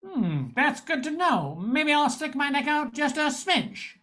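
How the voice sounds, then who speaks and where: A woman's voice: high voice, sing-song, measured pace, a bit bright, full character voice. A woman playing a wry, jaded male bar owner in a space-noir radio spoof, narrating and speaking in scenes. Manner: wry, hard-boiled.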